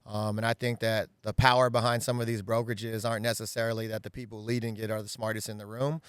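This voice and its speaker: Earnest man in his thirties, smooth voice. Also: fairly low pitch, little pitch movement, fast talker, dry recording. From West Coast of the US. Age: 30s. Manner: earnest, talkative, often says "right?" and "um". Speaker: guest, real estate agent. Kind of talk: casual interview talk. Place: outdoors in a backyard.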